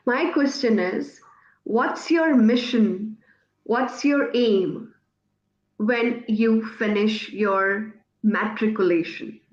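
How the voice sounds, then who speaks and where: Pakistani woman host, urdu native, talks really slow, hunts for words, echoey muffled call. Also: a little high. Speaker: host, interviewer. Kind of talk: online interview questions.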